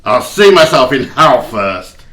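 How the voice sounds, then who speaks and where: A miserly old man, acted by a man in an audio play, grumbles with curt scorn. His pitch swings widely as he snaps and scoffs. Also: big pitch swings, measured pace, a bit bright, a little hiss or hum. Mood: curt, dismissive. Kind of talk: amateur audio play acting.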